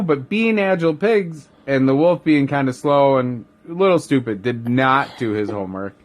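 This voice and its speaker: Man casually rambling through a bedtime story. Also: medium pitch. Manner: rambling, jokey asides. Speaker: father telling a bedtime story.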